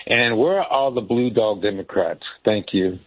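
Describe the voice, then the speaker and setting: A man's voice: on the low side, moderate pace, breathy edge. A man calling in to a talk show and asking his questions in a polite, hesitant way. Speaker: caller. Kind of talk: call-in question. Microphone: telephone. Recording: phone line.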